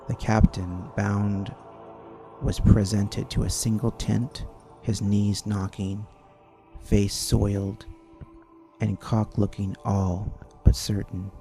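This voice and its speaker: Slow, low-voiced male storyteller over music. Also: creaky, dark tone. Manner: theatrical, grandiose delivery with dramatic pauses. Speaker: narrator. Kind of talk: dramatic old-time radio adventure narration. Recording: narration over guitar music. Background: easy listening guitar music.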